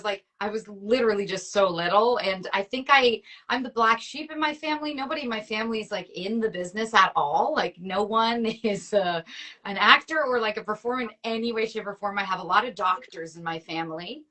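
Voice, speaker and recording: A woman's voice: medium pitch, a little fast, bright, forceful tone. A woman in her thirties from Canada, a native French speaker, chatting casually as an actress guest, with many "like"s and frequent laughs. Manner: chatty, peppered with "like", laughs often. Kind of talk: casual chat.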